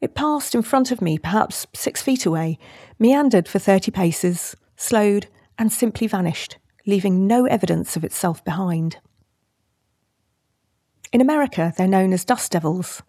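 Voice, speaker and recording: A British woman, a nature writer, reading a descriptive nature essay in a measured literary style. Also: mid-range voice, expressive, mellow, slightly rough, dry recording, clean studio sound. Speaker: nature writer and podcast host.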